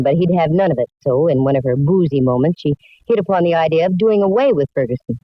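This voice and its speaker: A woman's voice: deep voice, no echo. A woman playing a shrewd, wry, self-assured private detective in a 1940s radio drama, laying things out with wisecracks. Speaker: lead actress and narrator. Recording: dull vintage radio recording.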